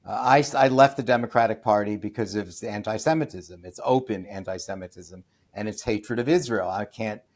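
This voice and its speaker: An American man, a podcast guest and author, giving opinionated interview answers with many restarts and fillers, then bursting into loud, scornful emphasis. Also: on the low side, brisk, few pauses. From United States.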